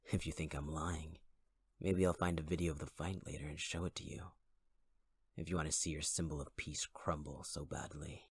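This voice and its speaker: A man voicing a taunting young male fire villain in an audio roleplay, speaking in a husky, mocking, intimate voice with close whispers. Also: extremely low pitch, no echo, exaggerated voice. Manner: mocking, cruelly patient, intimate. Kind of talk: ASMR audio roleplay acting.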